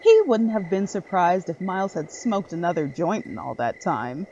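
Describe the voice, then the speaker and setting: A woman's voice: fairly low pitch, sing-song, moderate pace, warm tone, dull audio, slight background noise. A woman reading scripted narration for a dramatic horror story.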